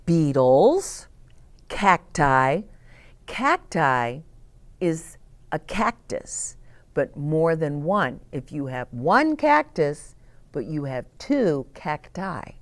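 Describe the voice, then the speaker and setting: A woman's voice: low voice, very animated, extremely slow. A woman hosting story time for young children, reading aloud with dramatic emphasis and explaining things simply with questions to the listener. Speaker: children's storybook reader and host.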